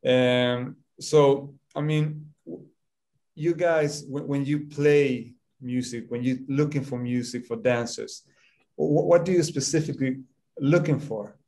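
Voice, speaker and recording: Man, host, casual panel talk, halting, frequent restarts, mid pitch. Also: mellow. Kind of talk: casual hosting, hesitant.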